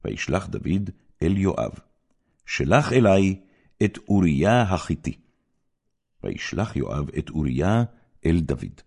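A man giving a solemn, measured and expressive dramatic reading of biblical narrative, in the manner of a storyteller. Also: deep voice, creaky, husky.

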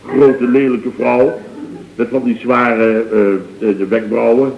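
An actor playing a blustering, gossipy middle-aged contractor boss in a radio comedy, rambling, mocking and repeating himself emphatically. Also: male voice, mid-range voice, little pitch movement, slow pace, gravelly, echoey room. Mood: disdainful gossip. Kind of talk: 1970s Dutch radio comedy sketch acting. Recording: dull, lo-fi old radio recording.

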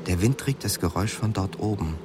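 A male narrator tells a radio drama story in a low voice. He is measured and composed, set against clearly audible background noise. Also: lively intonation.

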